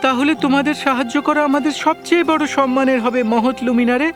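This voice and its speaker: Polite young cartoon prince, super high voice, earnest and formal, bit of music behind. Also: male voice, steady tone, fast talker. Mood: respectful, earnest. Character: earnest, courteous young prince seeking wisdom. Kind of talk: animated fairy-tale dialogue.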